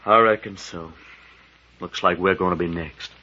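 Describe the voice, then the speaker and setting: An actor playing a hot-headed, loyal sidekick with an American accent and blunt folksy slang, in 1940s radio style. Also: male voice, sits low, steady tone, moderate pace, pushed and bright. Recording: muffled, noisy vintage radio recording.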